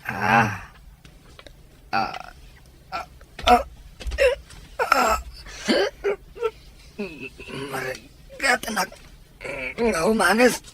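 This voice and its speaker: A man's voice: high voice, very animated, drawn-out words, long pauses, noisy background, full character voice. A man playing a middle-aged, drunken and ailing Filipino father in a radio drama, groaning and slurring his words. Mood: groaning, suffering.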